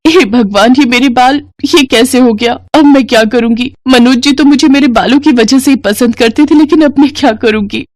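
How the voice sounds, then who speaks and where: A woman voicing a gentle, earnest young village bride in a cartoon storybook, with an emotional delivery. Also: high voice, moderate pace, few pauses, no room sound, voice pushed up, natural voice. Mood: distraught.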